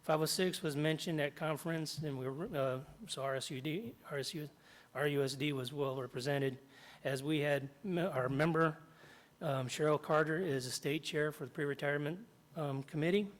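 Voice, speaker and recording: A middle-aged man, slightly hoarse after jaw surgery, reading prepared remarks at a podium in a large meeting hall and stopping often to clear his throat. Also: on the high side, steady tone, normal speed. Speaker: union chapter president. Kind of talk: public comment at a board meeting. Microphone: podium microphone.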